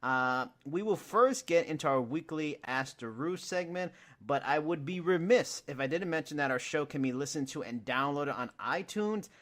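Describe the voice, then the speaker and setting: A man's voice: fairly high pitch, a bit bright. A man hosting a sports and fitness podcast, upbeat and jokey, laughing often as he talks. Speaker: podcast host. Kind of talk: casual podcast chat.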